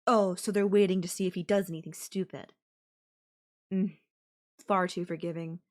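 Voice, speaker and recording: Slow-talking, possessive yandere girlfriend acted by a woman. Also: mid-range voice. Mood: indignant, sympathetic. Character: possessive, doting long-distance yandere girlfriend, young woman. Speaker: voice actor in audio roleplay. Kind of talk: intimate girlfriend audio roleplay, one-sided voice call.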